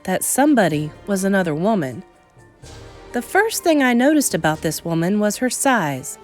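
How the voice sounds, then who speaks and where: Expressive woman reading a story aloud, very animated. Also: medium pitch, soft background music. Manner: suspenseful, emphatic, with deliberate pauses. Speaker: storybook reader. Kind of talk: dramatic read-aloud narration of a children's novel.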